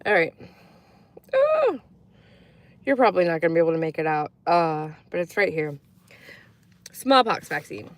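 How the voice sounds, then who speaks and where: A woman's voice: fairly low pitch, big pitch swings, moderate pace, long pauses. A woman from Croatia chatting casually to a live audience, rambling with many fillers and acting out quoted voices in her stories. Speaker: live streamer. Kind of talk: casual storytelling to a live chat.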